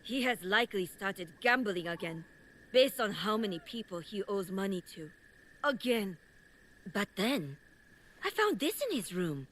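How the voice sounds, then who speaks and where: A woman's voice: mid-range voice, very animated, unhurried, fairly bright tone. A woman playing an exasperated, scornful wife who scolds about her gambling husband in a video game cutscene. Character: angry, exasperated wife of a gambling watch commander.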